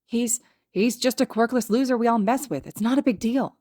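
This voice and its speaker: A woman's voice: deep voice, lively intonation, fast. Woman playing a brash teenage boy bully. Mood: panicked, defensive. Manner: jeering, brash. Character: taunting teenage schoolboy bully.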